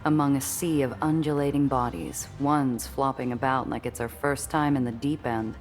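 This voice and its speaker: A woman's voice: a bass voice, steady tone, moderate pace, a little mellow, faint music behind. A woman voicing a cool, observant heroine, narrating her own story in a scripted, wry way for a video game. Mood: composed. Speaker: narrator and protagonist.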